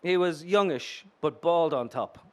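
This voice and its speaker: A man's voice: measured pace, slight background noise, close to an everyday voice. A man voicing a brash, wisecracking thirty-eight-year-old woman working the streets. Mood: sassy. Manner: sassy, wisecracking, lifts into a higher voice for her lines.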